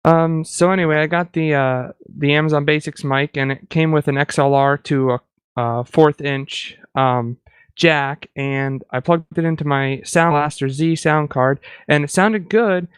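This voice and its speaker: Man, podcast co-host, clear voice, dry sound, chatty, self-deprecating, casual. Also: a little high, fairly even intonation, measured pace, dry recording. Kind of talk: casual tech chat.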